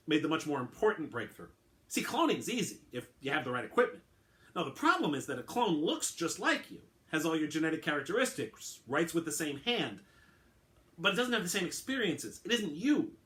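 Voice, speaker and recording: A man's voice: fairly high pitch, bright, forceful tone, pitched above the performer's own voice. A man voicing an eccentric, oddly formal male clone who explains patiently and keeps correcting himself.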